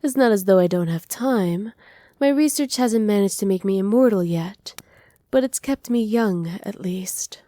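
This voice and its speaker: A woman voicing a doting, possessive evil witch in an intimate ASMR roleplay, speaking softly and teasingly, often slipping into breathy whispers. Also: mid-range voice, a little slow, close to an everyday voice. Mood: tender, indulgent. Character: doting, possessive evil witch pampering her captive pet. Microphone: close microphone, dry.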